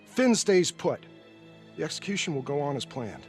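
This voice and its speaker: A skeptical judge in a game, folksy and condescending, voice on the higher side, bit of music. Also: male voice, sing-song, normal speed. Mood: dismissive, firm. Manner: folksy, wry, patronizing. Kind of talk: video game cinematic acting.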